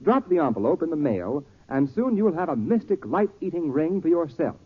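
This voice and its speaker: Tinny, animated 1940s male radio announcer. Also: fairly high pitch, normal speed, husky. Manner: emphatic, theatrical salesmanship.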